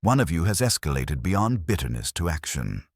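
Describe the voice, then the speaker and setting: A man voicing a stern, pedantic detective genius in an audiobook, with slow, formal, commanding speech. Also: rumbling low, sing-song, husky, no room sound, studio quality, full character voice. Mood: stern, accusing. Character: imperious, calm, stern male detective genius, pedantic and unyielding.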